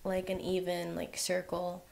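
A young woman hosting a home cooking channel, walking through a recipe in a relaxed way with lots of "um" and "like". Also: on the low side, unhurried, gravelly. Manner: relaxed, filler-heavy instructions with frequent "um" and "like". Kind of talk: casual recipe voice-over.

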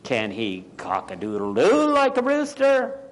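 A man's voice: medium pitch, wildly swooping pitch, measured pace. A man voicing a bossy, scornful farmyard hen, demanding and dismissive, in a children's storybook.

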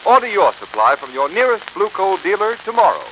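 A man's voice: high voice, very animated, fairly quick. A man announcing in dramatic, emphatic 1930s radio style. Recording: noisy 1930s radio recording.

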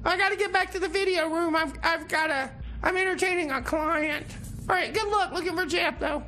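A woman playing a busy, evasive, flustered woman in a comedy radio play, with halting theatrical delivery. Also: very high-pitched, pushed and bright. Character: Matilda, busy, evasive, brush-off woman with a client.

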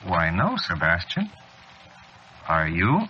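A man's voice: low voice, huge pitch swings, slow pace, full character voice. An actor playing an eerie, mockingly polite male intruder with an insinuating manner, in 1940s radio acting style. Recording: dull, noisy old radio recording.

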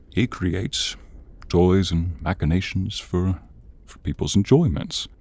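A man playing an exasperated, menacing horned demon in an ASMR roleplay, theatrical and grumbling, with a husky, breathy voice. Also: deep voice, darkish tone, heavily acted. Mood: weary, brooding.

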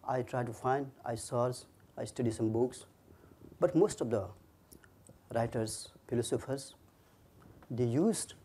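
A man's voice: mid-range voice, dark tone, breathy edge. A man with a Nepali accent, an author giving a talk on his book in short, earnest phrases. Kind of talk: lecture.

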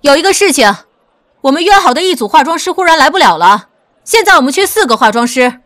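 A woman's voice: high voice, expressive, fast, fairly bright tone, pitched above the performer's own voice. A woman voicing a flustered, urgent wedding organiser in an audiobook drama. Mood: anxious, urgent. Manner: urgent, pressing.

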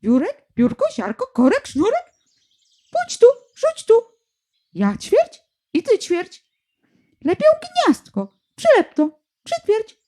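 A woman's voice: very high voice, wildly swooping pitch, slow, long pauses, dark tone, pitched above the performer's own voice, exaggerated voice. A woman doing a squabbling flock of birds. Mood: bickering. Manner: bickering, grabby chatter.

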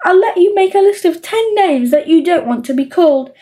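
A woman's voice: very high voice, expressive, fairly quick, dark tone, pitched above the performer's own voice. A woman voicing a horrid, defiant young boy in a children's storybook, jeering and scheming. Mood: sly. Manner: jeering, shrieking, taunting.

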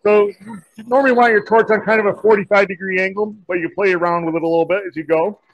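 Folksy shop teacher demonstrating welding. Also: male voice, high-pitched, fast, creaky, dry recording, poor audio. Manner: relaxed, folksy, many "kinda" and "you know" fillers. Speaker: diesel technology teacher. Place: indoor school metal shop.

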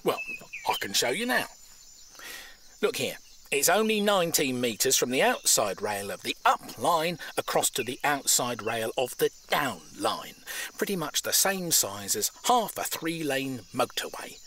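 An actor playing a blunt, smug railway surveyor in an audio drama, officious and dismissive. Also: male voice, mid-range voice, big pitch swings, measured pace, sharp tone, a little hiss or hum. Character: blunt, smug male railway surveyor defending his employer.

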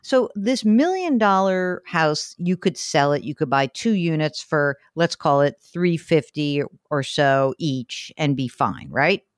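A woman with a New York accent hosting a call-in advice show, brisk and chatty, asking quick follow-up questions. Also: deep voice, expressive, no echo, studio quality. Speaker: host.

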